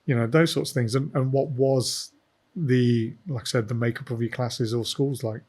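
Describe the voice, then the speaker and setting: A man's voice: mid-range voice, fairly even intonation, normal speed, a little mellow, slightly rough. A man hosting a podcast, asking questions in a jokey, informal way with plenty of ums. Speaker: podcast host. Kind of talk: casual interview chat.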